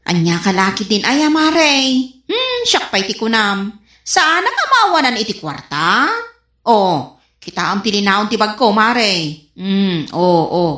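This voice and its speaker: A woman's voice: mid-range voice, big pitch swings, unhurried, sharp tone. A woman with an Ilocano accent playing a boastful, trusting wife with a breezy, dismissive manner in a comedy radio drama. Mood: boastful, amused. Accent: Ilocano, from the Philippines. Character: boastful, trusting married woman showing off savings. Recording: echoey room, faint background noise.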